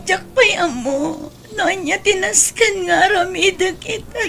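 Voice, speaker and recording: Lady playing wronged young wife, ilocano drama, high voice, long-suffering, bit of music under it. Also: unhurried, gravelly, full character voice. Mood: tearful, resigned. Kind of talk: Ilocano audio drama acting.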